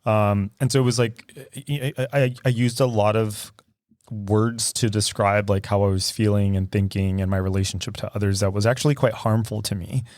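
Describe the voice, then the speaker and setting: Man, podcast co-host, casual chat, thoughtful and hesitant, low voice, dry room. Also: steady tone, moderate pace, a little mellow, no echo. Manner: thoughtful, earnest, hesitant with many ums.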